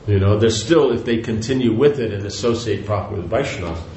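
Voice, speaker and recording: A man's voice: fairly low pitch, fast, darkish tone. Rambling older man giving a spiritual talk. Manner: rambling, conversational, frequent "you know" and restarts. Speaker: spiritual teacher. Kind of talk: devotional lecture. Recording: echoey room with faint noise.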